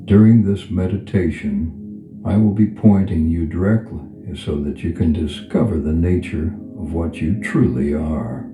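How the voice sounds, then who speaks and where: Male meditation guide, very low register, slow soothing delivery with long pauses, roomy reverb. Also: dark tone. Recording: reverberant voice over clear background music. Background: background music.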